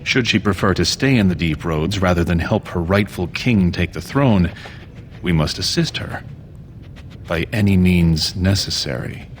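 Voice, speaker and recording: Low-voiced scheming dwarven prince. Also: male voice, a little hiss or hum. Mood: slyly formal. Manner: formal, measured, veiled persuasion. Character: scheming, ambitious dwarven prince seeking the throne. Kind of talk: fantasy video game acting.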